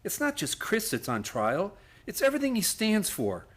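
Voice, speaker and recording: An actor playing an earnest, idealistic lawyer in a radio play, with a pleading manner. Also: male voice, sits high, wildly swooping pitch, normal speed, fairly bright tone, full character voice. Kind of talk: stage-like radio play acting.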